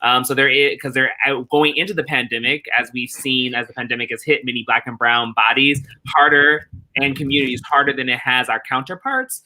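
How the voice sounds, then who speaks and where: A man giving reflective interview answers as a theatre director, earnest and deliberate, repeating phrases rhythmically for emphasis. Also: mid-range voice, fairly even intonation, pushed and bright, low-quality recording.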